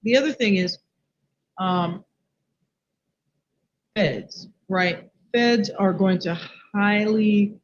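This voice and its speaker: A man's voice: high-pitched, very slow, long pauses. A man in a casual discussion, speaking in an earnest, insistent way. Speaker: live stream co-host. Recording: muffled, noisy lo-fi stream audio.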